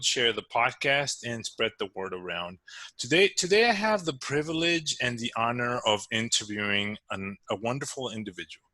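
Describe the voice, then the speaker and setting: A man's voice: mid-range voice, sharp tone, dry recording. A man hosting an interview podcast, talking in a loose conversational way with fillers and restarts. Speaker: podcast host.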